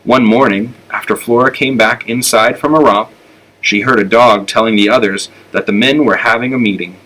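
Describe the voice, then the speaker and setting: A man's voice: mid-range voice, fairly even intonation, moderate pace, pushed and bright, rough recording. A man with an American accent narrating a children's storybook aloud. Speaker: elementary school teacher reading aloud.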